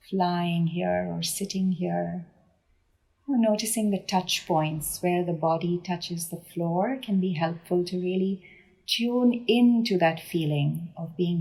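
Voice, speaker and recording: A woman leading a guided meditation in a gentle, unhurried manner with deliberate pauses between phrases. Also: lowish voice, vocal fry, poor audio. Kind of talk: guided body scan meditation.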